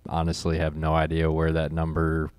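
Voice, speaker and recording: A man's voice: a bass voice, completely flat delivery, normal speed, over a noisy crowd. A man, a corn specialist, talking through crop conditions in a laid-back, chuckling way. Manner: laid-back, chuckling, thinking aloud with fillers. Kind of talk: casual expert chat.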